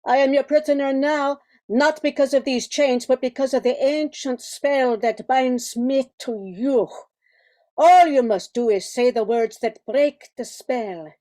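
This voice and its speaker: Regal, slow-spoken goddess, played by a woman. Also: high-pitched, mellow. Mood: haughty, defiant. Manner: imperious, grand, formal. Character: regal proud captive goddess muse, not trifled with. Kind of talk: amateur radio play acting.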